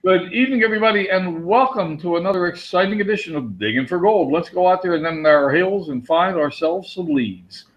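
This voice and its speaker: A man's voice: high-pitched, lively intonation, a little fast, few pauses. A man with a Pennsylvania Dutch accent hosting a live webinar, chatting with viewers and calling on them by name. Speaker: webinar host. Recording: dull lo-fi audio.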